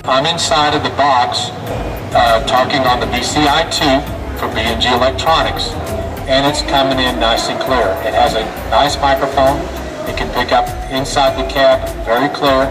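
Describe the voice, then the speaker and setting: A man's voice: mid-range voice, fairly even intonation, moderate pace. A man doing a plain audio test, his voice coming through an intercom speaker. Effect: heard through intercom speaker. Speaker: intercom tester. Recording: distant intercom speaker, music behind.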